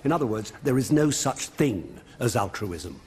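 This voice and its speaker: A man's voice: medium pitch, very animated, normal speed, darkish tone, slight background noise, lightly acted. An actor playing a pompous, irascible witchfinder judge in a radio drama, declaiming in an officious, stern manner.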